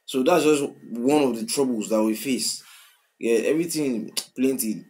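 A man in his twenties with a Nigerian accent, reacting to a song in casual commentary, swinging from loud outbursts to quiet mumbling, recorded on a webcam in a small room. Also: mid-range voice, normal speed. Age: 20s. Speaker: music reaction host. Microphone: webcam at desk, wearing headphones.

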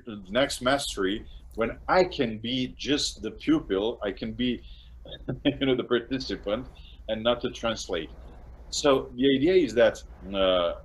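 Serbian-accented man, halting chatty host. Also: lowish voice, poor audio. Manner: halting, restarts often, laughs between phrases. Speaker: interview host and course translator. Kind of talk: casual video-call interview. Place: home room indoors. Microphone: webcam on a video call.

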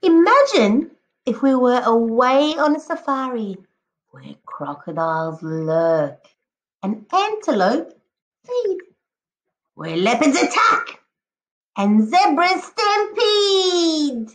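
A woman teacher reading a picture book aloud to children, expressively, addressing them directly and encouragingly with drawn-out emphatic words. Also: high-pitched, big pitch swings. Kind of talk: expressive children's read-aloud with prompting questions.

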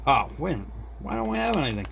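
A man's voice: high voice, sing-song, fairly quick, gravelly. A middle-aged man giving a casual, unscripted repair demonstration, thinking aloud to viewers as he works at a desk indoors. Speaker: hobbyist repair video maker. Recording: background noise, room ambience.

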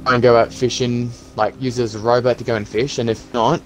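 A practical, hopeful survivor in a zombie game roleplay, played by a man. He thinks aloud in a halting, conversational way at a middling pitch. Music plays behind the voice. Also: flat delivery, natural voice, poor audio. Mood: practical, planning. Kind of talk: improvised game roleplay skit.

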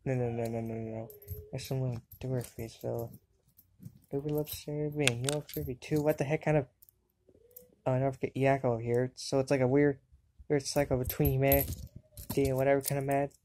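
Woman acting a low-voiced, sarcastic anime girl. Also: steady tone, normal speed, pauses often, dark tone, a little hiss or hum. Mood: subdued, musing, then casual, sarcastic. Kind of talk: amateur cartoon fandub voice acting.

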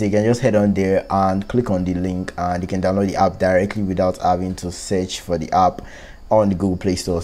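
A man's voice: sits low, warm tone. A man hosting a casual tech tutorial, informally explaining an app step by step. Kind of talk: casual screen-recorded app walkthrough. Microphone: close one-way microphone, voice-over.

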